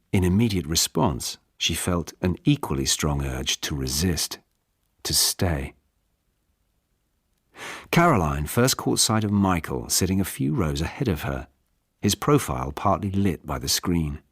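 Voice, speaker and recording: A British man narrates literary fiction in a very deep voice. His delivery is expressive, with lively swings in pitch, and the tone is husky. It is a scripted audiobook read. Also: a little slow, dry recording, clean studio sound. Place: dry studio.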